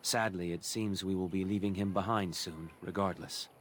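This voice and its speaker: An actor playing a reserved, sombre elven merchant in a fantasy game, subdued and matter-of-fact. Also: male voice, low voice, fairly even intonation, moderate pace, a little hiss or hum, natural voice.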